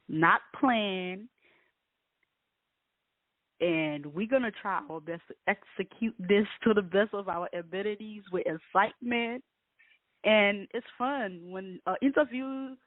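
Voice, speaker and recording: A woman's voice: medium pitch, dry recording. Liberian woman host, thin call audio. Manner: chatty, opinionated, lively emphasis. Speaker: interview host. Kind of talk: casual interview. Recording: dry, phone-like call audio.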